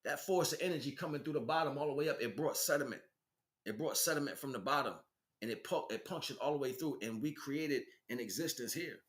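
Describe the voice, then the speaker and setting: A man's voice: mid-range voice, quick pace, a bit bright. A man giving an improvised spiritual lecture in an emphatic, preaching way, repeating phrases and posing rhetorical questions. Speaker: spiritual teacher.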